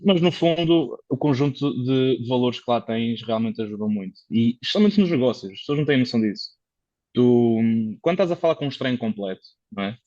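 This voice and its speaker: A man's voice: medium pitch, darkish tone, no room sound, lo-fi sound. A Portuguese man, a car consultant, talking casually as a podcast guest, explaining things at length with many fillers and restarts. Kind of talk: casual conversational interview.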